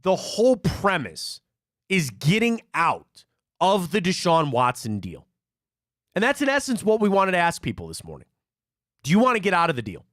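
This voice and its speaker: A man from Cleveland hosting a live sports talk show, telling stories in an animated way and often repeating himself. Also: high voice, moderate pace, no room sound, clean studio sound. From Cleveland, Ohio.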